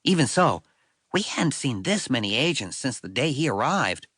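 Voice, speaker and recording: A man playing a wry, self-deprecating sixth-grade boy, telling his story in a conversational, expressive way for an audiobook. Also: mid-range voice, moderate pace, slightly rough, no room sound, clean studio sound. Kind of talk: first-person audiobook storytelling.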